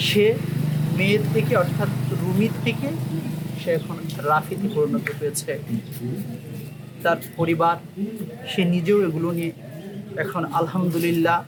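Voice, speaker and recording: A man's voice: high voice, steady tone, normal speed, long pauses, background noise. A man with a Bangladeshi Bengali accent hosting an on-location interview, asking informal, probing questions. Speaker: interviewer and channel host. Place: on location in a village. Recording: crowd chatter behind the voice.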